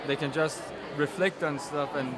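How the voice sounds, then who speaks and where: A man's voice: a little high, steady tone, normal speed. A young man from Denmark, talking casually and stumbling over words. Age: young adult. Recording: loud crowd and noise.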